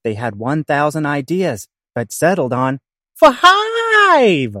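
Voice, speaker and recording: A man narrates a comic audio play in theatrical rhymed verse. His pitch is medium, and the recording is dry with no room sound. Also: animated, moderate pace, dry recording. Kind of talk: theatrical rhyming scripted narration.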